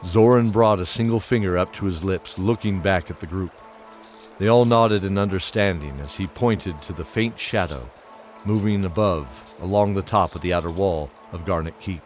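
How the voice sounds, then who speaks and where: Low-pitched male fantasy narrator. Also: normal speed, soft background music. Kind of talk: scripted fantasy audio drama narration.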